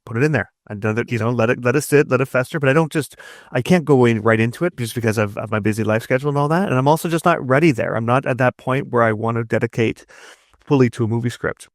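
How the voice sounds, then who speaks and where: A man chatting casually as an interview guest, enthusiastic and rambling, often repeating words in quick bursts. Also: mid-range voice, no echo, clean studio sound. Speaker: interview guest, narrative designer and writer.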